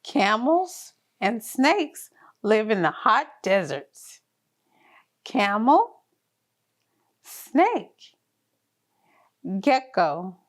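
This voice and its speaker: A woman's voice: a little high, sing-song, extremely slow, pauses often. A woman reading a picture book aloud to very young children, in a sing-song, encouraging, child-directed way. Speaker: children's story reader. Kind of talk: read-aloud picture book narration for toddlers.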